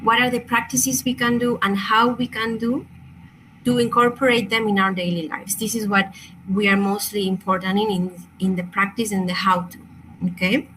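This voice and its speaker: A woman's voice: mid-range voice, fairly even intonation, moderate pace, pushed and bright, a little hiss or hum, rough recording. A woman from Chile, a native Spanish speaker with a Chilean accent, presenting an online crystal workshop in a hesitant, apologetic way with many fillers and restarts.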